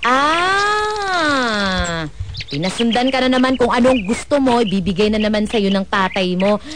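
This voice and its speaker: A woman's voice: on the high side, sing-song, measured pace, fairly bright tone, a little hiss or hum. A woman playing an exasperated, pleading mother in a radio drama, nagging and emotional. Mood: exasperated, scolding. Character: exasperated, pleading working mother of a teenage son.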